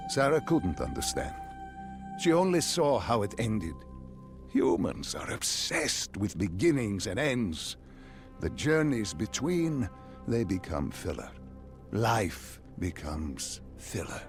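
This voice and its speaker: An actor playing a sinister, grandiose male doctor in a video game, speaking in a deliberate, condescending, theatrical way. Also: on the low side, very animated, over music, exaggerated voice. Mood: brooding, contemptuous. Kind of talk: dramatic video game acting.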